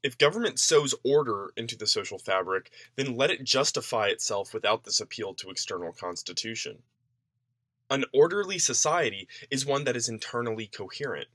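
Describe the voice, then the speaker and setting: A man's voice: mid-range voice, animated, fairly quick, a bit bright, dry recording, studio quality. A man reading a written essay aloud in a formal, declarative way, as scripted narration. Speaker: reader of an essay.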